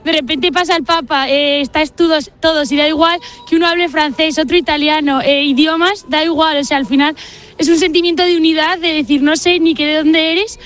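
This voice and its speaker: Female pilgrim, high voice, casual off-the-cuff interview answers outdoors. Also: fairly even intonation, a little fast, a bit bright. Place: outdoors in a meadow.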